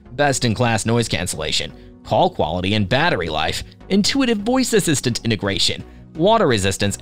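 Man, lively intonation, scripted review narrator, polished promotional delivery, music behind. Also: medium pitch.

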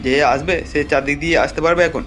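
A man's voice: a little high, steady tone, quick pace, loud music behind the voice, lightly acted. A man voicing a foul-mouthed, boastful gang leader in a comic cartoon, bossy and blustering to hide his fear. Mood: scolding, blustering.

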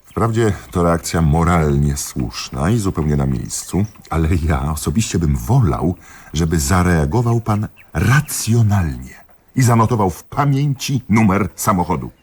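A man's voice: sits low, sing-song, unhurried, a little mellow, breathy edge, full character voice. A man acting in a radio play as a dry, pedantic police commissioner, questioning methodically and insistently with a sardonic edge. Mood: dry reproach.

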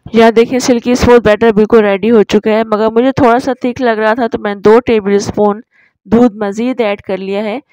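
A woman's voice: a little high, fairly even intonation, brisk, darkish tone, no echo, rough recording. A woman narrating a recipe tutorial as a voice-over, explaining each step in an instructive way. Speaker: cooking channel host.

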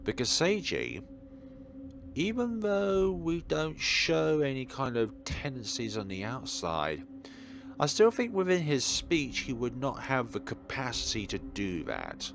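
A man giving let's play commentary as host, speaking in deliberate, halting phrases. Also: medium pitch, gravelly.

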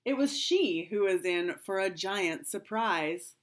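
A middle-aged woman, a kindergarten teacher, reading a rhyming picture book aloud to children in an expressive, sing-song storytelling way. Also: mid-range voice, takes it slowly. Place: small home room indoors.

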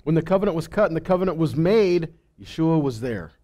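High-pitched middle-aged man preaching. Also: animated, brisk, warm tone. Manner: rhetorical questions with frequent check-ins like "okay?" and "right?". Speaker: preacher, Bible teacher. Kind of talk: sermon teaching. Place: indoor stage in a meeting hall. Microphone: headset.

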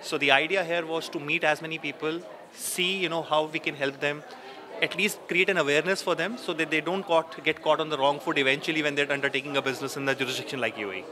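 A man's voice: on the high side, fairly even intonation, fast. Man, thirties, tax advisor, fluent, "you know" fillers, handheld mic, busy crowd behind. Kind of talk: event interview. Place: busy indoor event, press backdrop. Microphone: handheld interviewer mic. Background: crowd chatter.

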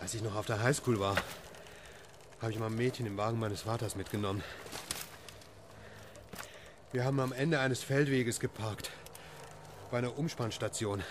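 A man's voice: mid-range voice, steady tone, fairly quick, noisy background, natural voice. A man acting a weary, sceptical middle-aged family man in a German radio drama. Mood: reflective.

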